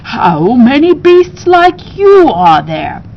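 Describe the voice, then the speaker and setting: A chirpy little male parrot as a woman reader plays him, polite and easily excited. The voice sits high and exclaims brightly in storybook fashion. Faint rumbling noise and a slightly dull recording surround it. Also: slow, exaggerated voice. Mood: earnest. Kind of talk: storybook read-aloud.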